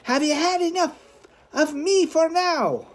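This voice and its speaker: A scrappy, defiant teenage street boy played by a man, pitched well above an ordinary male voice. He talks in a taunting, streetwise way, as in a storybook adventure. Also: takes it slowly, a little hiss or hum. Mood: triumphant.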